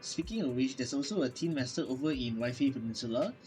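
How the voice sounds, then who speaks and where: A man's voice: mid-range voice, expressive, fast talker, a little mellow. A man doing playthrough commentary as a gaming host, reacting and reading game text aloud. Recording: game music behind the voice.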